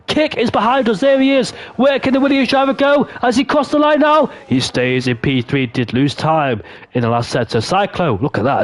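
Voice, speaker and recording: A man commentating a sim racing session, excitable and losing his voice, hoarse. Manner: excitable, rises sharply in pitch at key moments. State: voice going hoarse. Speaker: lead commentator.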